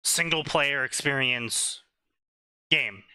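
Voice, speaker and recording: A man co-hosting a casual stream, talking slowly and deliberately, often checking "right?". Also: medium pitch, sharp tone, smooth, no echo, poor audio. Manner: explanatory, slow and deliberate, asks "right?" often. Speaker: stream co-host.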